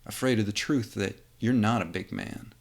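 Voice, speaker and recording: A man's voice: on the low side, moderate pace, close to an everyday voice. A man playing a polite, earnest reporter of thirty, meek and well-spoken, in a script reading. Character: mild, meek, polite thirty-year-old male reporter, quietly principled.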